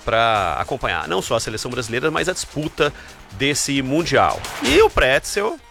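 A man from Brazil hosting a sports broadcast, lively and announcer-like. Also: mid-range voice, moderate pace, vocal fry, soft background music. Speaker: sports show host. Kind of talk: radio sports anchoring.

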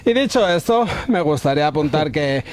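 A man's voice: fairly high pitch, lively intonation, fairly quick. A man making mock-solemn comic declarations on a radio show.

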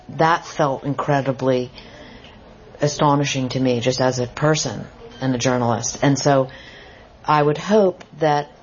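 A woman's voice: low voice, darkish tone, a little hiss or hum. A woman author and journalist speaking at a bookstore event, earnest and reflective, often restarting her sentences. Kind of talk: book talk and audience Q&A. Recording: muffled event recording.

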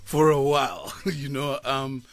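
A man's voice: medium pitch, steady tone, a little slow, no echo. A man, a voice-over artist chatting casually in a radio studio, laughing often.

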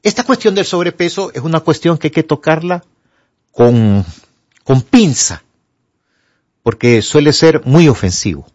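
A man's voice: on the high side, lively intonation, measured pace, pauses often, a little mellow. A Honduran man speaking as a doctor, giving conversational advice emphatically and didactically, asking and answering his own questions. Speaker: doctor, studio guest answering health questions. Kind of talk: conversational medical advice for a broadcast audience. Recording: dull, lo-fi broadcast audio.

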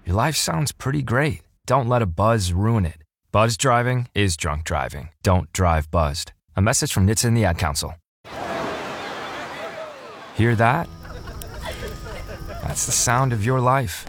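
A man announcing a scripted public service ad in a calm, measured way. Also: on the low side. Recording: ad over loud music.

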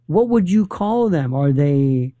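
A man hosts a casual talk, his voice mellow and warm. He is animated and halting, starting sentences over and filling gaps with "uh". The sound is dry and close. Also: on the high side, no echo. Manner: animated, halting, many restarts and fillers. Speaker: host. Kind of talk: casual conversation.

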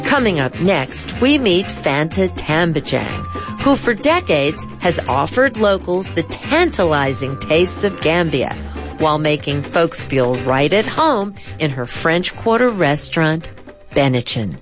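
A woman's voice: sits low, very animated, a little slow, music in the background. A woman hosting a food radio program, speaking in an effusive, emphatic manner. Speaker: radio host and interviewer. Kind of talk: food interview and scripted radio narration.

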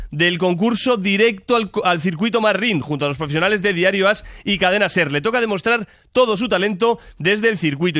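A man's voice: sits high, bright, forceful tone, no echo, studio quality. A man with a Spanish accent from Spain presenting a scripted sponsored promo on radio.

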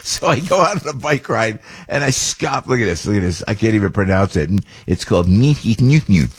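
Older guy on talk radio, real low husky voice, rambly and chatty. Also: sing-song, fairly quick. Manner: rambling, chatty, with frequent restarts and mock voices. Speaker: radio talk show host. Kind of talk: casual political talk radio monologue.

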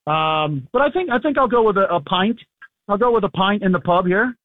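A man calling in as a sports reporter, hoarse from losing his voice, talking in an easygoing, rambling way with many fillers. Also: high-pitched, lively intonation, fairly quick, dry recording. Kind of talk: casual radio phone interview. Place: abroad, away from the studio. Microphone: phone call. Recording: tinny phone line.

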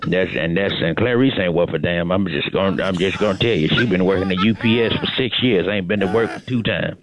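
A man's voice: low voice, a little fast, poor audio. A man voicing a cantankerous old great-grandmother on the phone, rambling, repeating herself and cussing. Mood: grumbling. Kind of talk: prank phone call comedy bit.

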